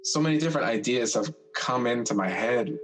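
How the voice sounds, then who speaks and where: An actor playing a teasing, insistent young older brother in an audio drama, drawling and stammering at times. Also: male voice, mid-range voice, animated, a little fast, background noise, lightly acted. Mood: reflective, uneasy. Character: teasing older brother, a restless young man who has died. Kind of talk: stage-style audio drama dialogue.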